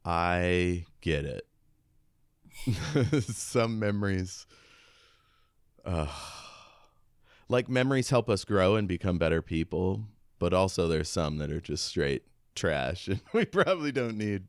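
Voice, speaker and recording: A man's voice: deep voice, very animated, slow pace, pauses often, clean tone, no room sound, clean studio sound. A man co-hosting a podcast in casual, informal chat, with a jokey, self-deprecating manner. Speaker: podcast co-host.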